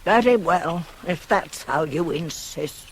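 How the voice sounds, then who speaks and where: An older nurse in a radio tragedy, shrewd and persuasive. She speaks slowly and emphatically, her pitch rising and plunging as she insinuates. A faint hiss from an old cassette sits behind her. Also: lively intonation. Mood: hushed, scheming. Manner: rhetorical, emphatic, insinuating, dropping to hushed tones. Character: older female nurse, shrewd, pragmatic, persuasive confidante of a queen. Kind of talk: 1980s radio play acting of Greek tragedy.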